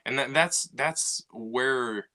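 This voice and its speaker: A man's voice: mid-range voice, slow, sharp tone. A man co-hosting a podcast, talking casually with many fillers and restarts as he thinks aloud. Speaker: podcast co-host. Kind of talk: casual discussion.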